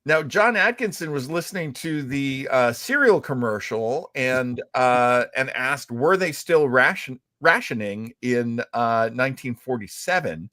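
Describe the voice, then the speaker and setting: A man with a mid-pitched voice in a dry, close recording. He hosts in a conversational, explanatory style, pausing thoughtfully as he goes. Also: expressive, pushed and bright, dry recording. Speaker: host of a retro radio show.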